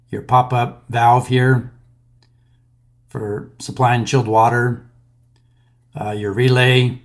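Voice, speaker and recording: A man in his thirties talks through a technical topic in a close-miked voice-over. His voice sits at an ordinary middle pitch and his delivery is plain and methodical, with occasional fillers as he moves from point to point. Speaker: maintenance instructor. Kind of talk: explainer voice-over over slides.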